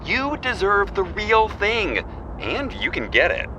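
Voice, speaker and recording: An actor playing a cheerful, playful adult son on a phone call in an audio drama. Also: male voice, high-pitched, sing-song, takes it slowly, full character voice. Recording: dull phone-call sound, clear noise.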